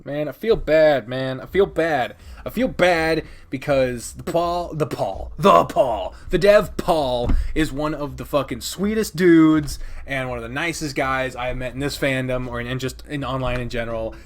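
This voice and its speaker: A man's voice: medium pitch, normal speed, hardly any pauses, slight background noise. A man giving a casual, opinionated countdown review of games, rambling and emphatic with plenty of swearing. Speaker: video host and game reviewer.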